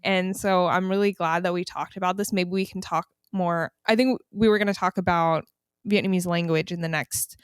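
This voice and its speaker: A woman's voice: on the low side, fairly even intonation, normal speed, clean tone, no echo. A young adult woman from the United States co-hosting a podcast with her siblings, speaking reflectively with frequent "like" fillers. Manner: reflective, sprinkled with "like". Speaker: podcast co-host. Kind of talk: casual chat among siblings.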